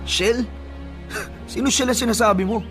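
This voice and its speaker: An actor playing a cheating, short-tempered young boyfriend in a Filipino radio drama, with a Filipino accent and a defensive, exasperated manner. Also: male voice, very high voice, measured pace, music in the background.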